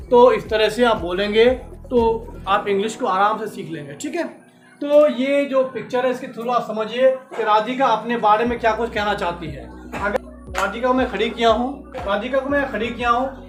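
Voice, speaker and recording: A man's voice: high voice, fairly bright tone, reverberant. A male English teacher lecturing a class, mixing English phrases into Hindi and checking often that the students follow.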